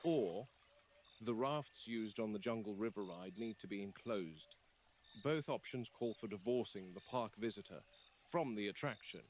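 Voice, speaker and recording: A man voicing a grave, wary game warden in an analog horror log, measured and formal, on a field-style recording. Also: lowish voice, slight background noise, natural voice. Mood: measured, concerned. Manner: measured, serious, formal delivery. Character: grave, wary male game warden logging dinosaur observations. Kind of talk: scripted analog horror voice acting.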